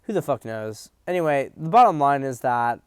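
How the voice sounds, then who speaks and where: A man's voice: medium pitch, animated, moderate pace, clear, resonant tone, no echo, studio quality. A man hosting a political commentary stream, talking bluntly and emphatically, swearing freely and often restarting his sentences. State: hiccups. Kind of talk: profane livestream commentary.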